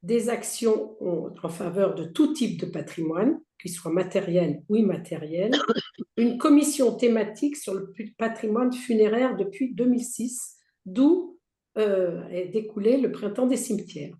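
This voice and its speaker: A woman with a hoarse, strained voice walks an audience through a slide presentation, listing points and stumbling into restarts. Her tone is dark and mellow, and the sound bounces around a roomy space. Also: mid-range voice, animated, unhurried. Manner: reads out slide points with frequent fillers and restarts. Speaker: presenter for a heritage federation. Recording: roomy echo.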